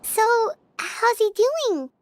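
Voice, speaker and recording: Very high-pitched, curious little fairy companion. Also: female voice, very animated, drawn-out words, full character voice. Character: tiny childlike floating fairy companion, curious and chirpy. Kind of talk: video game voice acting. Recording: game music behind the voice.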